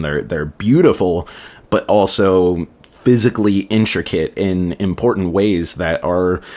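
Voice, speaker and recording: Deep-voiced podcast host. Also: male voice. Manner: rambling, enthusiastic, talks at length. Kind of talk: conversational interview.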